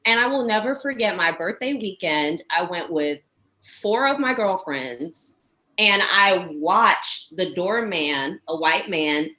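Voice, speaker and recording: A woman from Washington, D.C., co-hosting a casual podcast chat and telling stories with lively confidence. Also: lowish voice, slow, low-quality recording. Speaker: podcast co-host.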